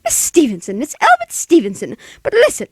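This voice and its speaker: A man's voice: very high-pitched, wildly swooping pitch, a little fast, no room sound, full character voice. A man voicing a demanding, hysterical wealthy invalid woman in an old radio play, imperious and exasperated. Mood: agitated. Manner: imperious, exasperated, breathless urgency. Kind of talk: 1940s radio play acting.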